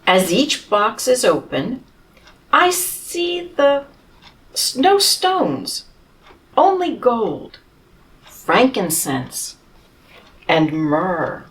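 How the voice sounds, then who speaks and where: A woman reading a children's storybook in the voice of a humble, tired male camel who tells his own tale slowly and tenderly. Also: a little high, recorded from a distance, voice pushed up, exaggerated voice, poor audio. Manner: slow, tender storybook telling with lively rises.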